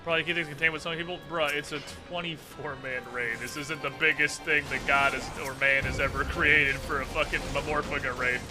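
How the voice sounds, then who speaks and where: A man's voice: on the high side, little pitch movement, brisk, few pauses. A man giving casual, joking gaming commentary as a streamer, with some swearing. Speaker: game streamer. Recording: music behind the voice. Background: game music.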